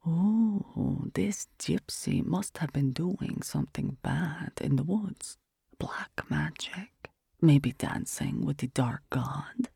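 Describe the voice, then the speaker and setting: A storyteller voicing a mocking young female fortune-teller in an audiobook, dropping to a raspy whisper. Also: mid-range voice, animated, takes it slowly, warm tone, dry recording, studio quality, heavily acted. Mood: taunting. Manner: scornful, taunting, drops to a raspy whisper.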